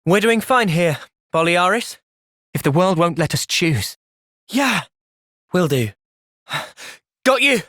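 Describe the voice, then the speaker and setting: A man with a British accent playing a thoughtful, gentle young soldier in video game cutscenes, measured and earnest. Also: a little high, dry recording, clean studio sound. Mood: urgent, shouting.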